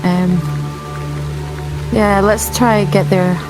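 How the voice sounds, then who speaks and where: A woman's voice: mid-range voice, fairly even intonation, slow pace, poor audio. A woman playing a tabletop roleplaying game, talking casually as her character. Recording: very loud music and rain behind. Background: music, rain sound effects.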